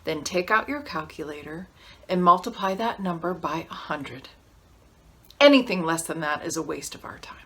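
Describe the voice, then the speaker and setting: A woman's voice: low voice, lively intonation, moderate pace, a bit bright, husky, heavily acted. A woman acting a steely, contemptuous lawyer in a dramatic monologue, speaking in a controlled, cutting way. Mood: cold contempt.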